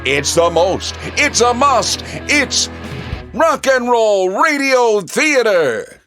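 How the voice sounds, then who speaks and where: A man's voice: very high voice, very animated, slow pace, a bit bright. A man announcing a comedy radio show with theatrical, scripted delivery. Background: music.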